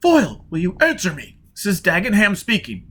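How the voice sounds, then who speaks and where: A man's voice: high-pitched, huge pitch swings, fairly quick, a bit bright, breathy edge, pitched above the performer's own voice, exaggerated voice. Brief: man playing a menacing male commander hailing on the radio. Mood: commanding.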